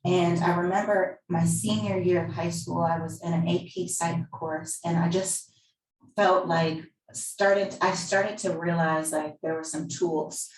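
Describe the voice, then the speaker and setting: Soft-spoken young woman, low, echoey. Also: fairly even intonation, unhurried, a little hiss or hum. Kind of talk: casual personal storytelling. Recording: echoey room, distant mic.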